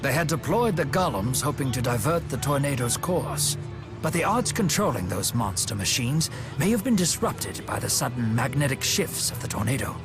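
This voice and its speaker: An actor playing a courteous older gentleman strategist in a video game, speaking in a formal, measured, polite way. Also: mid-range voice, sing-song. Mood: grave, composed. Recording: loud music behind the voice. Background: loud background music.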